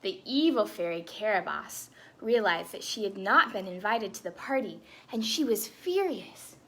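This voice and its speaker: A woman reading a story aloud to children as a storytime host, expressive and breaking into chatty asides. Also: medium pitch, normal speed, slightly rough. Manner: animated, with chatty asides to young listeners.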